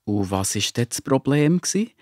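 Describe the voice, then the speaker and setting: A man playing a loving, patient father in a children's audio drama, gently reassuring, with a Swiss German accent. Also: medium pitch, sing-song, moderate pace, no echo, studio quality, natural voice. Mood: concerned, gentle.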